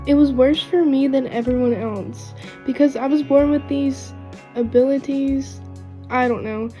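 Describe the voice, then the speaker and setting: A woman voicing an earnest, wounded runaway girl with strange powers in an amateur animated series. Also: high voice, lightly acted. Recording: dull lo-fi mic under faint music.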